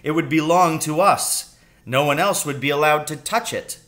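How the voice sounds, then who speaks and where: A hearty, excitable father in a storybook, acted out by a man reading aloud. He speaks with emphasis and reassurance, as if sharing an adventure with his child. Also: a little high, moderate pace, voice pushed up, natural voice. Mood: emphatic.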